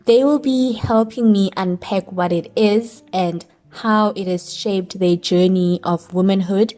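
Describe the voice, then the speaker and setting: Woman presenter, mellow tone, measured scripted intro. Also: mid-range voice. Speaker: host.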